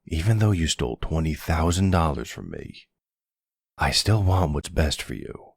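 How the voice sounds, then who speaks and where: A deep, gravelly middle-aged crime boss, played by a male actor in an intimate roleplay drama. He speaks in a measured, fatherly way, firm yet protective. The sound is close and dry. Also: very animated, no room sound, heavily acted. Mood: calm, firm. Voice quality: low gravelly husky. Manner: slow, measured, fatherly, streetwise. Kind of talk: ASMR roleplay audio drama.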